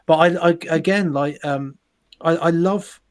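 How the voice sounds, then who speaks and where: A man's voice: a little high, unhurried, a little mellow. A man, a sound designer chatting casually on a livestream, self-deprecating and full of restarts. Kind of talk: casual chat.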